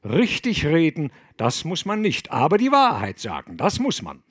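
A man reading an audiobook, voicing a pious, excitable old abbot with solemn, fervent exclamations. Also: sits high, takes it slowly, no room sound, clean studio sound, pitched above the performer's own voice, exaggerated voice. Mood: stern. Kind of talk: audiobook character voice.

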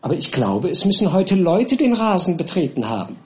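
A man's voice: high voice, sing-song, a little fast, voice pushed up. A man doing a timid, humble gardener. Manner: humble, hesitant. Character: timid deferential male gardener servant.

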